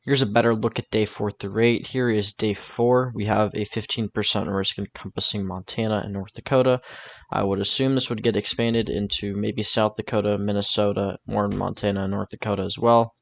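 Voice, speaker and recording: A man's voice: on the low side, fairly even intonation, a little fast, vocal fry, no room sound. A man talking informally through a weather forecast over maps, thinking aloud in a casual, tentative way. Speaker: weather forecaster presenting his own video.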